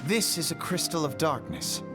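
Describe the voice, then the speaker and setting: Young male fantasy scholar, male actor, lightish high pitch, earnest archaic diction, clear music bed, game cutscene. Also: lively intonation, natural voice. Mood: serious, resolute. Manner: formal, archaic, deliberate phrasing. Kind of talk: video game cutscene acting.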